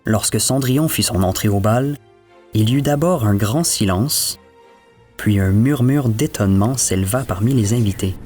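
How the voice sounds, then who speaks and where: A man's voice: lowish voice, moderate pace, a little mellow, soft background music. A man telling a scripted fairy tale as the storyteller. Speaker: storyteller, narrator.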